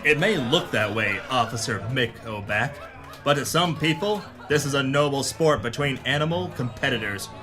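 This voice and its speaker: A man's voice: medium pitch, lively intonation, normal speed, big-room sound, music in the background, lightly acted. A man playing a hardboiled, melodramatic pulp detective who narrates his adventure in a noir parody audio drama. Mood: forceful, impatient.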